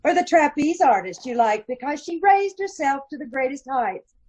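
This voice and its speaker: A woman's voice: high voice, animated, moderate pace, darkish tone, highs cut off. A woman playing an affectionate, playfully needling wife in an old-time radio comedy-mystery. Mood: teasing. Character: Nora Charles, teasing affectionate wife of a detective.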